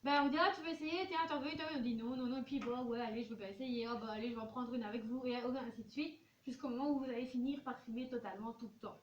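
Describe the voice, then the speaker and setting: A woman's voice: fairly high pitch, steady tone, quick pace, few pauses, muffled recording. A woman teaching a school class, talking to pupils in an informal, chatty way and acting out little examples. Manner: informal, chatty, role-plays examples of what teenagers say. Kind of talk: classroom lesson, addressing pupils. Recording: noisy echoey classroom, distant microphone.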